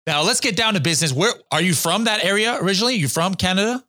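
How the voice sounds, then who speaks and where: A man's voice: sits high, expressive, fast talker, sharp tone, no echo, studio quality. A man hosting a podcast, asking questions in an upbeat, conversational way. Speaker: podcast host. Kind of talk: podcast interview.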